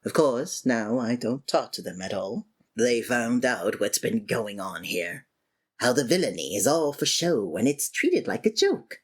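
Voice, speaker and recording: A woman with an unusually deep, breathy voice delivers a scripted villain roleplay. Her pitch leaps wildly between low grumbles and high exclamations. The delivery is theatrical and exasperated. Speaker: ASMR voice actor playing a villain.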